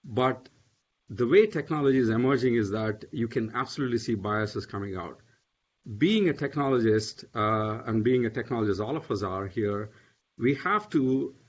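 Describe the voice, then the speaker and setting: A man's voice: mid-range voice, normal speed, slightly rough. A man speaking on a panel, hesitant, with many fillers and restarts. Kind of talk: panel discussion. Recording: dull lo-fi audio.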